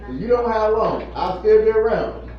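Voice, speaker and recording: A man's voice: high-pitched, animated, moderate pace. A man in his fifties, a plant manager, giving an earnest, repetitive motivational talk to a group of children. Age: 50s. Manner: earnest, repetitive, preacherly emphasis. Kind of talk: informal motivational talk to kids. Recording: echoey, distant, muffled room recording with faint noise.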